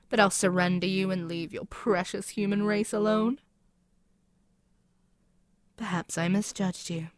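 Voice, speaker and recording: A woman's voice: on the low side, a little mellow, dry recording, close to an everyday voice. A woman playing a haughty, scheming demon queen in an audio roleplay, taunting and menacing in a theatrical way. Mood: exasperated, taunting. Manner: haughty, taunting, theatrical, dropping at times to near-whisper. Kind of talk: scripted ASMR audio roleplay, dramatic monologue.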